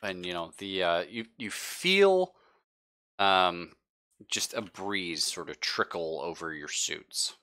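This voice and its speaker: Deep, bright-voiced male game master. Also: lively intonation. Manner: halting, casual, pausing to think mid-sentence. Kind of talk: improvised narration of a tabletop roleplaying game.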